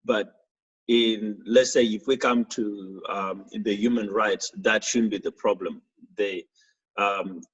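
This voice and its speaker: Husky low guy from south sudan, lots of "you know"s, lo-fi muffled audio. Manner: hesitant, many fillers and restarts. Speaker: youth programs coordinator. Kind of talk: panel discussion. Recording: dull lo-fi recording.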